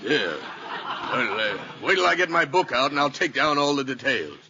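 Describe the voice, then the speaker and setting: Blustery, animated radio cop. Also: male voice, mid-range voice. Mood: baffled, weary. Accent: American. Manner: blustery, hesitant with puzzled drawn-out questions. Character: gruff, suspicious, slow-witted policeman in a radio sitcom. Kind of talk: 1950s American radio comedy acting. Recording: dull, very noisy old radio recording.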